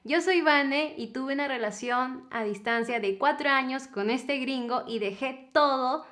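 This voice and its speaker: A young Peruvian woman in her twenties co-hosting a couple's vlog, telling their story in a chatty, animated way. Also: high-pitched, measured pace, roomy sound. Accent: Peruvian Spanish. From Peru. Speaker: co-host of a couple's channel.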